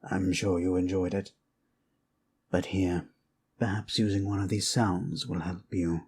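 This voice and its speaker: A man voicing a courteous, rambling undead lich healer and storyteller in an ASMR fantasy roleplay, unhurried, musing and formally polite, with a gravelly, sometimes breathy voice. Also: low voice, little pitch movement, a little mellow, a little hiss or hum. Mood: courteous, attentive.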